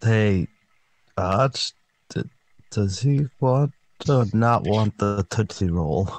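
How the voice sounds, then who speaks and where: A man's voice: lowish voice, lively intonation, slow pace, a little mellow, no room sound, heavily acted. A man playing a dim, good-natured tavern regular with a dopey, hesitant manner in a radio comedy. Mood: dim, puzzled. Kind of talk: old-time radio comedy acting.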